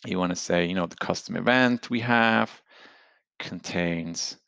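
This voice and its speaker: Guy walking thru a software demo step by step, lowish voice, dry close sound. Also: measured pace, gravelly, smooth, no room sound. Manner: conversational, unscripted, explains step by step. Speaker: software product presenter. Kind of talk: screen-share product tutorial, explanatory.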